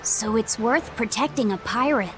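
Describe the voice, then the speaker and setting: A woman's voice: on the high side, normal speed, over music, lightly acted, poor audio. A woman playing a polite, naive young female exorcist in a game, earnest in manner. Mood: curious. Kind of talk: animated game voice acting.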